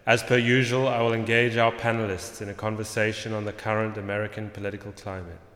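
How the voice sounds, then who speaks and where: An actor playing a courteous, slightly pompous professor moderating a panel in a radio play, heard in an echoey auditorium. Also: male voice, on the low side, little pitch movement, quick pace, fairly bright tone, close to an everyday voice. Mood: formal, welcoming. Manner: formal, pompous. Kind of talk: formal introductions in a radio play. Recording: echoey auditorium with long reverb.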